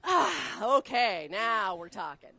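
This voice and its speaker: A woman chef raised in Texas, explaining a recipe step by step while cooking on a live show, chatty and quick to laugh. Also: medium pitch, lively intonation, sharp tone. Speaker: guest chef. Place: studio kitchen.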